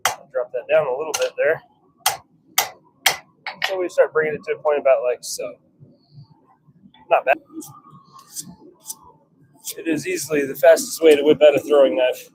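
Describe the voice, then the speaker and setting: Higher-voiced man musing over very noisy workshop sound. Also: fairly even intonation, normal speed, long pauses. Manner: musing, talking to himself in short fragments. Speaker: blacksmith hosting a workshop livestream. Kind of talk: casual commentary while working. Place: home forge workshop. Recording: very noisy workshop with clicks and clanks.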